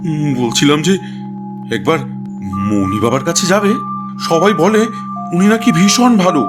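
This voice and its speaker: Guy doing a whiny simple village son for a cartoon, high voice, music playing behind. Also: big pitch swings, normal speed. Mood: anxious suggesting. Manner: whiny, stammering, wailing, pleading. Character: fretful, simple-minded grown village son, frightened husband. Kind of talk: Bengali folk-tale cartoon acting.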